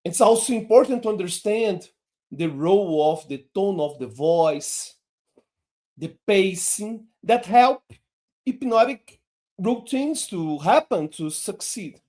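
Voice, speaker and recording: Guy teaching a hypnosis lesson in english, takes it real slow, voice swoops up and down. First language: Portuguese. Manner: slow, deliberate delivery with lively pitch swings. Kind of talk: online lesson.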